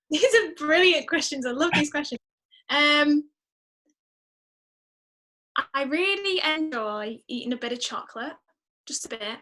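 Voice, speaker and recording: Female podcast guest, bright and fairly high voice, chatty, expressive delivery. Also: unhurried, pauses often, poor audio. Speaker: musician. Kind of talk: casual, chatty interview answers.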